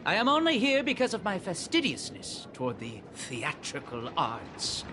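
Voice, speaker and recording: A man's voice: sits high, wildly swooping pitch, moderate pace, heavy background noise. An actor playing a fussy, verbose male memory keeper in a game cutscene, speaking in a pompous, ornate manner. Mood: lofty. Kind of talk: dubbed game cutscene dialogue. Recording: voice over loud music.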